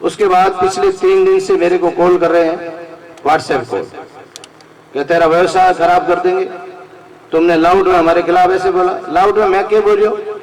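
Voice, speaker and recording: High-pitched man making a far-off public speech. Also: fairly even intonation, normal speed. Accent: Rajasthani. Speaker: community association leader addressing a gathering. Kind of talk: public speech to a community gathering. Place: large fair gathering. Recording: distant microphone amid an open crowd.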